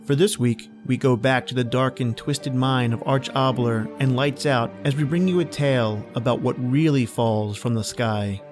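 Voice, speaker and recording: Male podcast host, scripted horror-tale introduction, clear music bed underneath. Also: mid-range voice, steady tone, normal speed, hardly any pauses.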